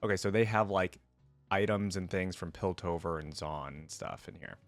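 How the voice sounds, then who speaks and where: A man with a fairly low voice talks informally as a reaction video creator. He comments like an enthusiastic fan in a casual way. The sound is dry and close, with little room to it. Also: normal speed, no echo, faint music behind.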